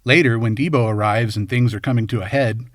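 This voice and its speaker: A man's voice: mid-range voice, fairly even intonation, a little fast, no room sound, studio quality. A man reading scripted film commentary as host, in a wry, tongue-in-cheek way. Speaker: video essay host.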